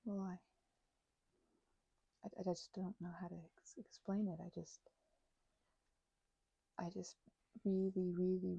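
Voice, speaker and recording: An actress playing a shy, grateful female vampire in an intimate audio roleplay, stammering and halting, often sinking into a nervous whisper. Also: lowish voice, vocal fry, dark tone, slightly rough, dry recording, full character voice, low-quality recording.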